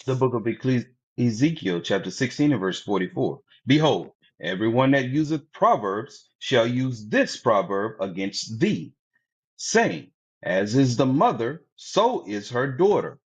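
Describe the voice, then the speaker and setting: Male scripture reader, lively intonation, measured; muffled lo-fi audio. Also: medium pitch. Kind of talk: reading scripture aloud. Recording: dull lo-fi audio.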